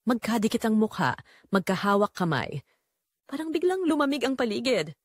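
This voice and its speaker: Expressive woman narrator, dry close sound. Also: a little high, normal speed, no room sound. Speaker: audiobook narrator reading a first-person romance story. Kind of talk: dramatic scripted narration.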